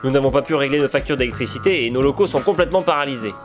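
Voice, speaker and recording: A beleaguered male office manager, played by an actor with a medium-pitched voice and a dry, matter-of-fact, evasive delivery. It sounds like an amateur comedy audio drama with prominent music underneath. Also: rapid-fire, lightly acted. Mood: dry, worried. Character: beleaguered male manager of a broke small company.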